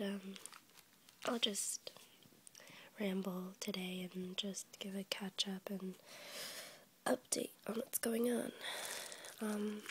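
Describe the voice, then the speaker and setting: A woman's voice: mid-range voice, noisy background. Whispering young woman with a cold. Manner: soft unvoiced whisper, rambling with fillers and small laughs. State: sick with a cold, congested. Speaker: ASMR YouTuber. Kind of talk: whispered ASMR ramble. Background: crinkling packaging.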